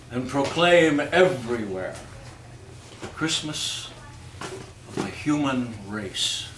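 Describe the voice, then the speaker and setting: A man reading literary pieces aloud as a host, with measured, declamatory, deliberate phrasing. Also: medium pitch. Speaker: reader and host of a holiday readers theater. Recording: echoey room, distant mic, faint noise.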